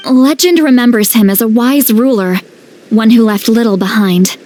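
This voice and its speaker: A woman's voice: fairly high pitch, moderate pace, a little mellow, close to an everyday voice. An actress playing an earnest young female guide in a video game. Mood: informative. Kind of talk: video game character acting.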